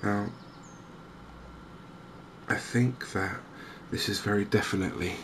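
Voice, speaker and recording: A soft-spoken man giving hesitant, measured ASMR-style commentary as host of a gaming video. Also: on the low side. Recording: clear steady background noise.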